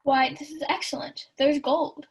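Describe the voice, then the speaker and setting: A woman's voice: high voice, flat delivery, normal speed. A young woman playing a genial, generous male duke in a radio-style Shakespeare play. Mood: amused, genial. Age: young adult.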